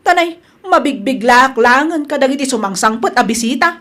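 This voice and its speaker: Woman doing a snooty spiteful wife, really high voice, ilocano accent, contemptuous, radio drama. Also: lively intonation, brisk. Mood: defiant. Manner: sharp-tongued, contemptuous. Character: haughty, hostile wife and spiteful sister-in-law.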